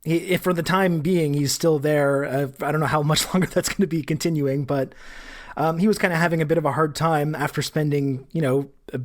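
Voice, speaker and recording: A man's voice: fairly high pitch, steady tone, a little fast. A man hosting a podcast, chatting casually and hedging with lots of "kind of". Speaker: podcast host. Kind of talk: casual interview chat.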